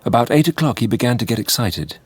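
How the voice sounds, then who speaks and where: An actor playing a weary male Victorian asylum doctor, giving sober, measured diary narration in a radio drama with a husky voice. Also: mid-range voice, lively intonation, no echo.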